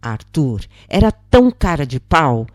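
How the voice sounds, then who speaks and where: A woman's voice: deep voice, big pitch swings, a little slow, a little mellow, no echo, clean studio sound. A woman with a Brazilian accent reading a scripted story as its narrator. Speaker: narrator of spiritist stories.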